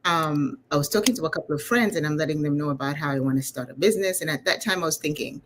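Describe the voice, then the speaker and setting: Female interview guest, low register, chatty and informal, slight background noise. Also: fast. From Uganda. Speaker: interview guest, leadership coach. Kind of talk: conversational personal storytelling.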